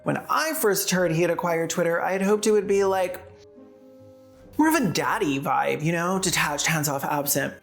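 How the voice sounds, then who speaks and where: Low-voiced sardonic woman with a creaky edge. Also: moderate pace, soft background music. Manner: sardonic, casual asides. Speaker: video essayist and host. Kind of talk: scripted commentary narration.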